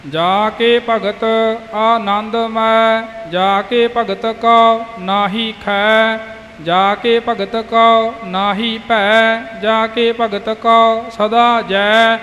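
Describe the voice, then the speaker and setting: High voiced guy chanting scripture really slowly, long echoey hall sound. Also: level tone, bright, forceful tone. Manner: measured, half-sung phrasing. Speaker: student reciting scripture. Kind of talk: slow, chanted Sikh scripture recitation. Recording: long hall reverb, music.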